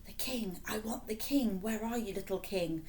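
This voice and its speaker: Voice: medium pitch, normal speed, a little mellow, a little hiss or hum, lightly acted. A woman voicing a creeping, menacing nightmare monster with a whispery voice.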